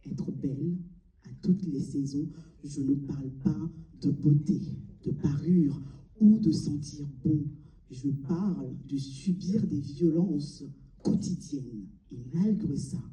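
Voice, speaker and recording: A woman from Mali delivering a solemn, deliberate theatrical monologue, pausing between short phrases. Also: low-pitched, dark tone. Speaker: amateur stage performer. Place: large cinema auditorium before an audience. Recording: echoey hall, distant mic.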